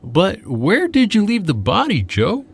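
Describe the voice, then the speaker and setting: An actor playing a puzzled, slow-witted police officer with a raspy voice in a 1940s-style radio suspense drama. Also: male voice, sits high, wildly swooping pitch, full character voice. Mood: puzzled, insistent.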